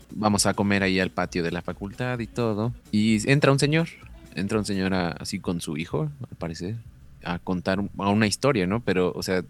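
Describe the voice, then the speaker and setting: A young Mexican man co-hosting a podcast, chatting casually and telling a story with many fillers. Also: low voice. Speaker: podcast co-host. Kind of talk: casual chat.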